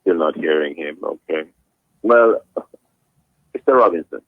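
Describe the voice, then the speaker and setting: A man hosts a morning radio interview in a low, husky voice, polite and formal, often restarting his sentences. The sound is thin and phone-like, and very dry. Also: animated, measured pace, no room sound. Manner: polite, formal, addresses guest as sir, many restarts. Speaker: radio morning show host. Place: radio studio.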